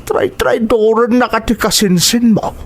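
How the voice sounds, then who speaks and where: A man's voice: extremely high pitch, steady tone, unhurried, heavily acted. A man with an Ilocano accent playing a gossipy older man in an audio drama, confiding and teasing with hinting interjections. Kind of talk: dramatized audio serial acting.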